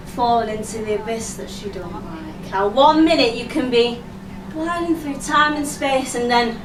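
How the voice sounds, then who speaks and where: An actress playing a rueful, sardonic laid-off flight attendant in her fifties, with a Yorkshire accent, in a theatrical monologue. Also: on the high side. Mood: reflective, heavy. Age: 50s. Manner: wry, confessional, sweary. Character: rueful fifty-two-year-old laid-off flight attendant, sardonic and earthy. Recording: roomy, distant mic, loud background noise.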